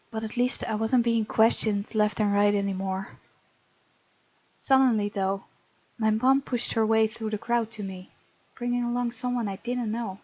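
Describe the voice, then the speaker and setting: A woman's voice: a little high, a little mellow, dry recording. A woman hosting a gaming video, reading game text aloud and reacting to the characters in a casual, playful way. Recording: slightly dull sound, music behind. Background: game music.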